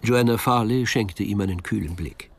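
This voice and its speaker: Male narrator, low pitch, gravelly fry, measured scripted crime storytelling.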